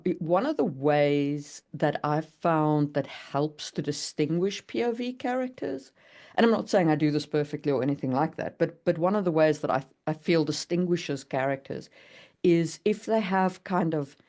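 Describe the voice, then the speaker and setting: A woman's voice: low voice, moderate pace, a little mellow. A woman co-hosting a writing podcast, explaining in a conversational way and carefully qualifying her points. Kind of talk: casual explanatory discussion.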